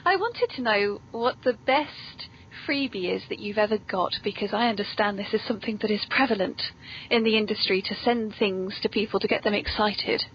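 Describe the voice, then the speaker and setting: A woman's voice: mid-range voice, moderate pace. A British woman hosting a casual interview, speaking in a chatty, playful way. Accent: British English. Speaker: podcast host, interviewer. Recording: dull lo-fi audio with clear noise.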